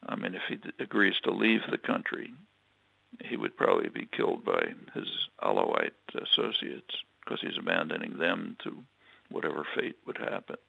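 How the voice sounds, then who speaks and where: A man giving a political analysis in an interview, talking in a halting way with many fillers and restarts. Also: low-pitched, wildly swooping pitch, creaky, no room sound, narrow, tinny audio. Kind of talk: measured spoken analysis, answering questions.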